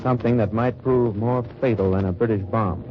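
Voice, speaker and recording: An actor playing a tough wartime undercover agent, narrating in clipped, hard-boiled lines in an old radio drama. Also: male voice, lowish voice, steady tone, brisk, close to an everyday voice. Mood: grim. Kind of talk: 1950s radio drama acting. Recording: dull noisy old radio recording.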